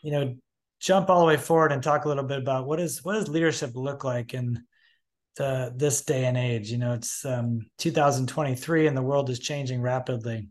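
A man's voice: medium pitch, steady tone, brisk. A man from Michigan hosting a podcast, asking long questions in a friendly, conversational way. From Michigan, USA. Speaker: podcast host. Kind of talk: podcast interview.

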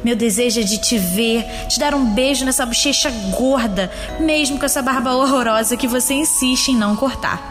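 Woman as high-voiced wistful granddaughter. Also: normal speed, hardly any pauses, music in the background, close to an everyday voice. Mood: tender, wistful. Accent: Brazilian. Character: affectionate, wistful, teasing young granddaughter writing to grandpa. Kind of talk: tender scripted monologue in audio drama.

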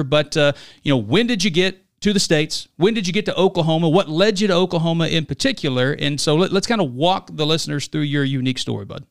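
Smooth-voiced chummy male host. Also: on the high side, fairly bright tone. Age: 40s. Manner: upbeat, chummy, keeps asking "right?". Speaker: podcast host. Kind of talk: casual chat and ad read. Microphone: studio podcast mic.

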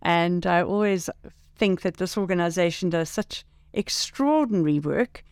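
A woman's voice: on the low side, animated, normal speed, a little mellow, clean tone, no echo, studio quality. An older woman, a teacher and mentor speaking graciously as a podcast guest. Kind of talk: casual podcast conversation.

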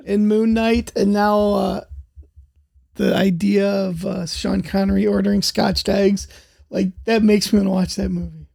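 A man's voice: sits high, level tone, a little slow, gravelly, a little mellow. A man hosting a podcast, steering a casual discussion of a television show. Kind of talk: casual chat about a TV show.

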